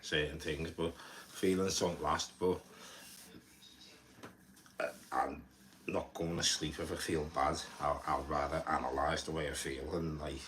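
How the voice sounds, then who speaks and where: A young man with a very low voice and a Scouse accent talks loosely to his live-stream viewers. He rambles, stumbles over repeated words and drops swear words without thinking. A faint hiss of background noise sits under the recording. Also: fairly even intonation, unhurried, pauses often, a bit bright, low-quality recording. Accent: Liverpool (Scouse). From Liverpool, England. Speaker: livestreamer.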